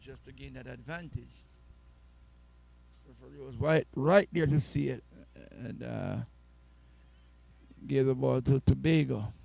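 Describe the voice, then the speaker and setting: Man, soccer commentator, gravelly voice, chatty. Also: medium pitch, unhurried, pauses often, dark tone. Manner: chatty, laughs easily, trades banter with co-commentator. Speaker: sports commentator.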